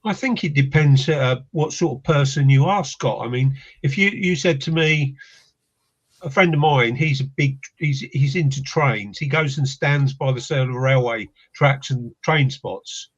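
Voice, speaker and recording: A man's voice: medium pitch, unhurried, husky. An older man, a paranormal investigator from England, talking as a guest in a casual chat, reflective and hesitant with many restarts. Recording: dull lo-fi line.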